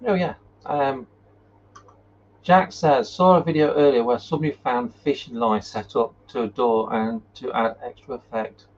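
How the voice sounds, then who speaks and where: A man hosting a casual paranormal live chat and reading out viewer comments, talking in an informal, halting way. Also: mid-range voice, fairly even intonation. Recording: muffled, noisy live-stream audio.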